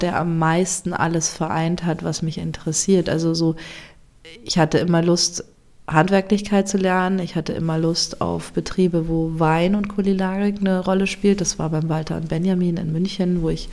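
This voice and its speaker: A woman chef in a casual interview, speaking reflectively and often pausing to search for words. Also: fairly low pitch, little pitch movement, a little mellow. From Germany.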